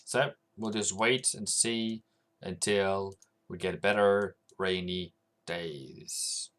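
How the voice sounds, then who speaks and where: A man's voice: low-pitched, fairly even intonation, slow pace, fairly bright tone. Chatty man streaming. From Norway. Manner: rambling, chatty, answers viewer comments aloud. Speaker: forex trading livestreamer. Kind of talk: casual livestream chat.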